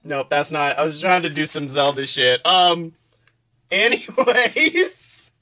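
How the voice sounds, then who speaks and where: A man co-hosting a podcast with casual comedic banter in a playful, excitable, giggly manner. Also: on the high side, slow pace. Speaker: podcast co-host and author.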